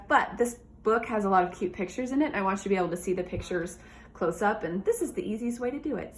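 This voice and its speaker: Animated woman teacher reading to children. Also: medium pitch, slight background noise. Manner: addresses young listeners directly, animated. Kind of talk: children's read-aloud with comments and questions.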